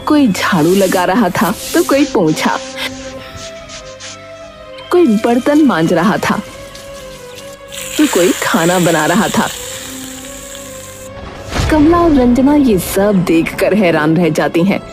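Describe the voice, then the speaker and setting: A woman's voice: medium pitch, big pitch swings, slow, pauses often. A woman narrating a cartoon moral tale as a storyteller, in a scripted style. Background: music.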